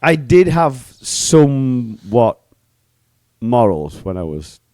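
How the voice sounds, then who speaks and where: A man's voice: mid-range voice, slow pace, no room sound. A middle-aged man co-hosting a podcast in crude casual banter, teasing and swearing. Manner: teasing, swearing, lively laughs. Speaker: podcast co-host.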